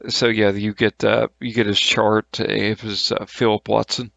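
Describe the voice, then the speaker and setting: A man acting as game master, narrating a tabletop game in a halting, colloquial, informal way. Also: fairly low pitch, level tone, gravelly, a bit bright, dry recording, lo-fi sound.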